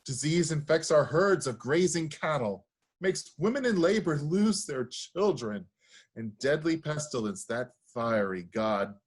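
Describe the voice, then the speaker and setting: A man's voice: a little high, lively intonation, measured pace, husky. A man acting a reverent priest pleading with his king, in an earnest, oratorical reading of Greek tragedy. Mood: grave, pleading. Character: reverent, pleading priest of Zeus, grave suppliant.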